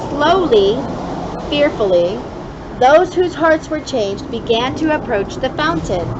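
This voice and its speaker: A woman reading a children's story aloud expressively, drawing out key words with deliberate emphasis. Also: sits high. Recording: dull lo-fi sound with clear background noise.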